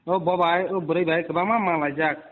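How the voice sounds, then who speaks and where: A man's voice: a little high, steady tone, brisk. A man talking casually in a back-and-forth phone conversation. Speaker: person receiving a prank phone call. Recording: tinny phone-like sound, background noise.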